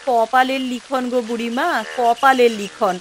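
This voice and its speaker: High plaintive village mother played by an actress. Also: fairly even intonation, normal speed, slight background noise, natural voice. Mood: pleading. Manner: plaintive, lamenting, homely village speech. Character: worried poor village wife and mother, dutiful, plaintive. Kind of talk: Bengali fairy-tale cartoon voice acting.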